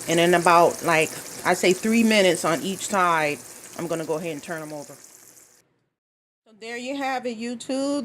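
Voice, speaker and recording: Middle-aged woman from Minnesota, folksy home-cook vlogger chatting to viewers in her kitchen, mid pitch. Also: slight background noise. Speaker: cooking vlogger. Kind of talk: casual cooking demonstration.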